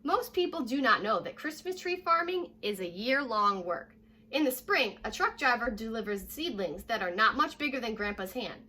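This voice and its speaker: A young American woman reading a children's story aloud like a teacher, addressing young listeners directly in a lively way. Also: a little high, normal speed. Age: young adult. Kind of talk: children's read-aloud with short asides. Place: living room at home, indoors.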